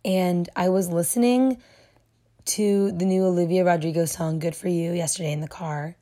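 Young woman, hoarse voice, mellow dark tone, chatty and rambling, solo podcast host. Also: lowish voice, fairly even intonation, normal speed. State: hoarse, losing her voice. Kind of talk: casual solo podcast talk.

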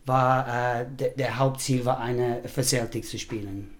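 A middle-aged man from Scotland with a Scottish accent, a podcast guest chatting casually in German, his first language English, pausing often and slipping into English words. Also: mid-range voice, steady tone. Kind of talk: casual chat.

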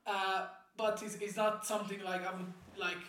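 A podcast co-host of unclear sex chatting casually over beer in a halting, repetitive, joking way. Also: mid-range voice, flat delivery, a bit bright. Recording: echoey room, distant from microphone.

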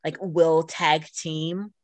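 A woman's voice: deep voice, steady tone, extremely slow, darkish tone, no echo. A middle-aged woman co-hosting a podcast, chatting casually about her hobbies in an informal way. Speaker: podcast co-host. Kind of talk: casual chat about video games.

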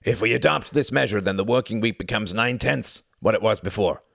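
A man playing a raspy burly union orator, pushing his case through the noise. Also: lowish voice, fairly quick, fairly bright tone, no room sound, studio quality, pitched above the performer's own voice. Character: gruff burly male trade union speaker.

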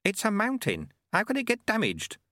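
Man playing a curious boy apprentice, high-pitched. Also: wildly swooping pitch, moderate pace, bright, forceful tone, dry recording, studio quality, heavily acted. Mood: puzzled. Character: young male apprentice monk, curious and questioning.

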